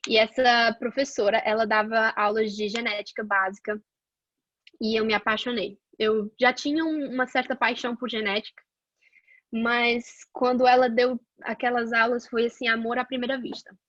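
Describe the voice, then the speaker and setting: A woman's voice: a little high, flat delivery, normal speed, a bit bright, no echo, highs cut off. A young woman from Portugal telling her own career story in a casual, chatty way, with many fillers and restarts. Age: young adult. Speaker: guest scientist sharing her career path.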